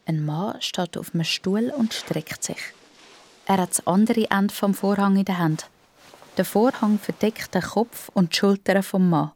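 A woman's voice: mid-range voice, unhurried, a little mellow. A woman with a Swiss German accent reads scripted narration for a children's audio drama.

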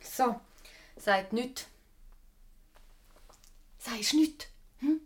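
A woman playing a brisk, wry wartime doctor in a radio drama, with a Swiss German accent and a dry, blunt manner. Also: on the high side, expressive. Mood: dry, weary.

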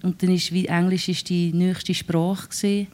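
Swiss german woman, lowish voice, kinda searching for words as she talks. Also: little pitch movement, normal speed, darkish tone. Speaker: singer-songwriter guest. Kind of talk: casual radio interview talk.

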